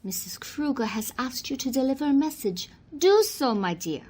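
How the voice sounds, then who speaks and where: Actress as haughty society secretary, high husky voice, swooping emphasis, prim, old-time radio play. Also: female voice, sing-song, normal speed, a little mellow. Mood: imperious. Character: haughty, proper, anxious society social secretary woman.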